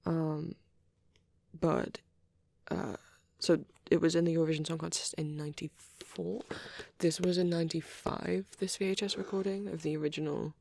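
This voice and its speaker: A performer voicing a shy, bashful, nerdy young male vampire boyfriend in a soft, hesitant, rambling ASMR roleplay. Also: medium pitch, normal speed, vocal fry, no room sound. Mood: shyly enthusiastic rambling. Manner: hesitant, stammering, apologetic, excitable when rambling.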